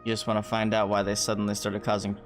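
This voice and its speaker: A man's voice: fairly low pitch, monotone, quick pace. A man livestreaming a game, reacting casually and bluntly and reading dialogue aloud. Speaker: livestreaming gamer. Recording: game music behind.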